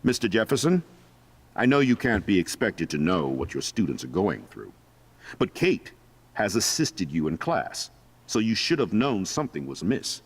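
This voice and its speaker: A man's voice: fairly low pitch, wildly swooping pitch, moderate pace, slightly rough, heavily acted. A man playing a stern, formal school principal with a pompous manner in a video game drama. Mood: stern reprimand.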